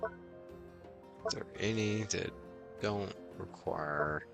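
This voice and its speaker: Deep-voiced man chatting over game music. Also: very slow, vocal fry. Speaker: variety game streamer. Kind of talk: casual livestream gaming chat. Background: video game music.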